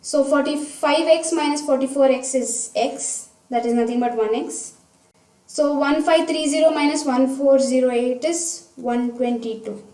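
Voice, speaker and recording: Female teacher, high soft-toned voice, methodical step-by-step delivery, recorded at a distance from the mic. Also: steady tone, measured pace. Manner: methodical, talking through each step aloud. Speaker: science teacher. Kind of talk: step-by-step worked problem explanation. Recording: roomy echo, distant microphone.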